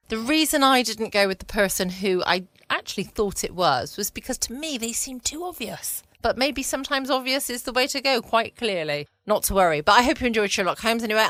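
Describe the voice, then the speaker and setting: A woman's voice: mid-range voice, sharp tone, no echo. A woman with an English accent hosting a bedtime podcast in casual chat. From United Kingdom. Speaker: podcast host. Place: dry room at home.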